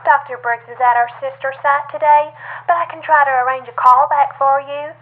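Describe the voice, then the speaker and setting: An actress playing a polite, brisk, unhelpful corporate receptionist in an audio drama, heard through a telephone filter. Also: female voice, high voice, steady tone. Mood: polite, businesslike. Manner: polite, scripted customer-service formality. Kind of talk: audio drama acting, phone call. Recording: thin narrow telephone line.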